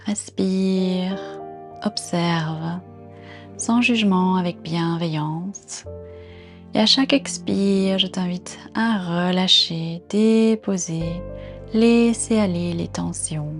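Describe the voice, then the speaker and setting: A woman guiding a body scan meditation in a calm, gentle, unhurried manner, giving soft instructions with pauses. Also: fairly low pitch, faint music behind. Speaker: meditation guide.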